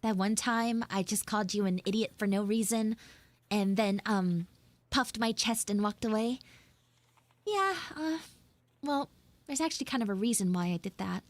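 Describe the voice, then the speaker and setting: A woman's voice: medium pitch, moderate pace, no echo, close to an everyday voice. A young woman playing a flustered, pleading tsundere schoolgirl in a live roleplay, rambling and stammering dramatically. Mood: sheepish. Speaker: live audio roleplay streamer. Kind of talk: improvised live character roleplay.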